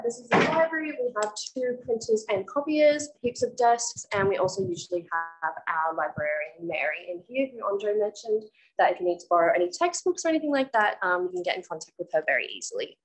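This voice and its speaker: A woman's voice: medium pitch, animated, a little fast, low-quality recording. A young Australian woman giving a casual guided walk-through as a student tour guide. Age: young adult.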